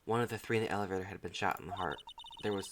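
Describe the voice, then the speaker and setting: A woman's voice: extremely low pitch, racing, background noise, pitched below the performer's own voice. A woman voicing a serious, pensive male defense lawyer as she reads video game dialogue.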